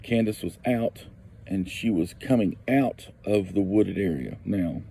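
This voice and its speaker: Slow gravelly man. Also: deep voice, mellow. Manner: slow and deliberate, repeats for emphasis. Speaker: local neighbor telling a story. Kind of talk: storytelling on a podcast clip.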